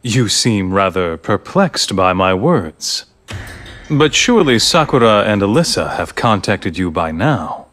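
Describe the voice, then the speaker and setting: Slow, formal, low-voiced male schemer. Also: sing-song, fairly bright tone, slight background noise. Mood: courteous, composed. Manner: formal, measured, eloquent, grandiose. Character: calm, persuasive male leader behind a grand scheme. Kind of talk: dubbed video game cutscene acting.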